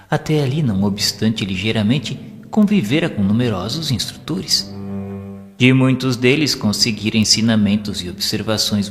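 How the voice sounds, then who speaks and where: An actor playing a reverent spirit pupil who narrates an audio novel in earnest, literary, measured phrases with a Brazilian accent. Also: male voice, fairly low pitch, natural voice. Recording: long hall reverb, background music.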